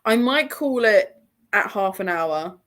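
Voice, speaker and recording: A woman's voice: mid-range voice, expressive, takes it slowly, a bit bright. A woman with an English accent hosting a casual book livestream, chatty and rambling with a sore throat, talking from a room at her parents' home. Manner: chatty and rambling, with frequent laughs. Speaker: book channel host.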